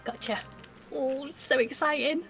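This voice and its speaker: A young woman playing an excitable, anxious nineteen-year-old trainee beekeeper in an audio drama. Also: high-pitched, a little slow, gravelly, soft background music, exaggerated voice. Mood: excited. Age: young adult. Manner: breathless, excitable, cheeky.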